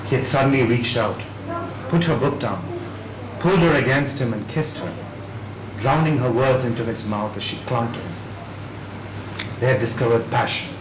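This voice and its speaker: A man reading literary fiction aloud in a dramatic narrating style, with measured phrasing. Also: mid-range voice. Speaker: reader at a dramatised book reading. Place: indoor event room at a live reading. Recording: echoey room with clear background noise.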